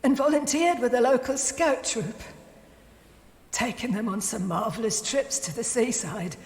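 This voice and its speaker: A woman's voice: a little high, unhurried, a little mellow. A woman playing a grieving widow in her sixties, delivering a eulogy in an audio drama. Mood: solemn, loving grief. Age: 60s. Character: grieving elderly working-class widow giving a eulogy. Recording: echoey hall with long reverb.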